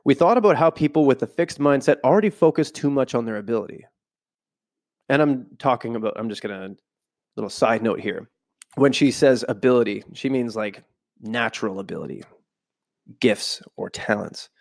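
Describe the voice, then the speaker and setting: A man's voice: medium pitch, moderate pace. Stuffy-voiced man reading aloud at home. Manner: reads aloud, then breaks off into loose asides. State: stuffy from a cold. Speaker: podcast host. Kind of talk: casual podcast, reading from a book with side comments. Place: home room, not a studio.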